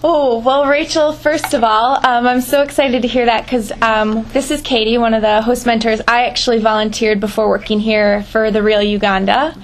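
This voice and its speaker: A woman's voice: a little high, level tone, normal speed, hardly any pauses, low-quality recording. A woman hosting an informal conference call, giving advice in an encouraging, enthusiastic way. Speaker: host mentor on a volunteer conference call.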